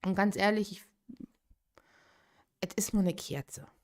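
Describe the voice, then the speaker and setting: A woman in her late thirties talks casually on her live game stream, thinking out loud and answering viewers as she goes. Her voice sits in an ordinary middle pitch, close to a desktop streaming microphone. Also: slow pace. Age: 30s. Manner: chatty, thinks aloud, reacts to chat.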